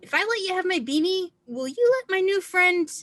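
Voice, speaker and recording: Woman as easygoing eighteen-year-old snowboarder, androgynous, high bouncy voice, drama read-through. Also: expressive, moderate pace, a bit bright. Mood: friendly, coaxing. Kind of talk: screenplay table read.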